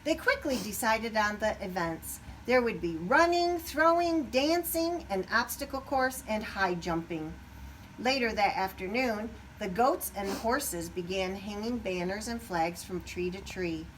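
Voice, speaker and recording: A woman reads a storybook to children with very lively, expressive intonation. Her pitch is mid-range for a woman, and there is slight noise behind her. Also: a little slow, far from the mic, poor audio. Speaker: storybook reader. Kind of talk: expressive read-aloud for children, asking listeners questions.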